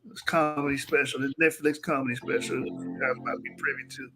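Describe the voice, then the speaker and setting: A man's voice: medium pitch, flat delivery, a little fast, noisy background. A young man co-hosting a casual reaction show, laughing often and trash-talking in loose slang. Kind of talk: casual mocking commentary.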